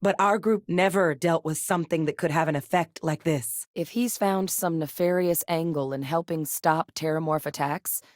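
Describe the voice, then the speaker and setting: Woman acting a low-voiced, determined scientist-soldier. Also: normal speed, hardly any pauses, dry recording, clean studio sound, close to an everyday voice. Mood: serious, measured. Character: seasoned, determined woman scientist and soldier, guarded but warm. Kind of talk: video game character dialogue.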